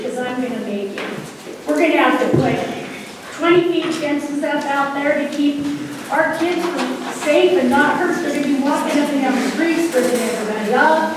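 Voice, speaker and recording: A woman giving an emphatic, indignant public comment at a town meeting, rambling from point to point. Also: sits high. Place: large fairgrounds meeting hall. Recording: echoey hall, distant mic, noisy.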